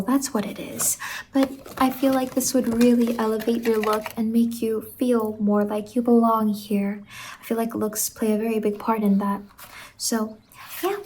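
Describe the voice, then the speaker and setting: A woman playing a sweet, bubbly stylist from a dream land in an ASMR roleplay, speaking softly and often slipping into whispers. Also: fairly high pitch, a little hiss or hum, lightly acted. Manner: gentle, doting, chatty, often dropping into whispers. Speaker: ASMR performer.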